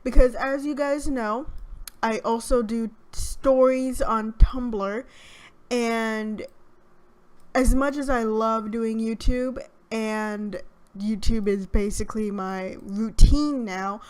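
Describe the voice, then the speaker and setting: A young woman with a soft, shy voice, chatting through a game video in a hesitant, rambling way. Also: fairly high pitch, steady tone, slow pace. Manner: rambling, hesitant with many fillers. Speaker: gaming YouTuber. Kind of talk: casual let's play commentary.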